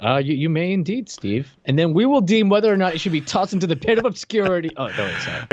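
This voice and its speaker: A man's voice: fairly high pitch, very animated, fast talker, dull audio. A man in his thirties co-hosting a podcast on a video call, chatting casually with a wry, teasing manner. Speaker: podcast co-host. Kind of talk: casual chat. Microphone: desk microphone on a video call.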